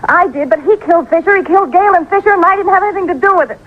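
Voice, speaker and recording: Tough cornered crook gal, really high pitched, old radio crime show, tinny audio. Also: female voice, very fast. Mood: panicked, pleading. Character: defiant, cornered female accomplice in a murder case. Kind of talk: old-time radio crime drama acting. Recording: tinny, narrow-band old radio recording.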